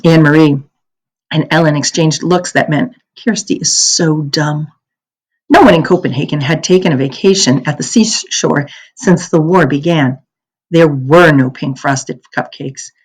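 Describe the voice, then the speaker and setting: A woman reading a children's novel aloud as a storyteller, in an expressive, deliberate manner. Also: low-pitched, husky, poor audio. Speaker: read-aloud narrator.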